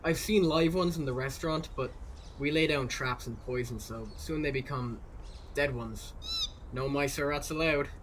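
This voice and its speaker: A man's voice: medium pitch, moderate pace, lightly acted. A man playing a reserved, polite teenage boy who works as a kitchen helper, in a children's audio drama. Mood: matter-of-fact. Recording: clear background noise.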